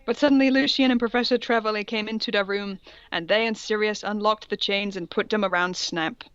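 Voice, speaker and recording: A woman's voice: medium pitch, steady tone, a little fast, a bit bright, clean tone, no echo, lo-fi sound. A woman co-host reading fan fiction aloud in a comic dramatic style, mock-serious and amused, with casual sweary asides.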